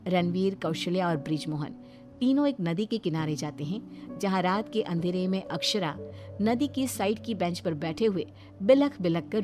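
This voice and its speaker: A woman's voice: on the low side, darkish tone. A woman reading scripted narration for a cartoon story, as its storyteller.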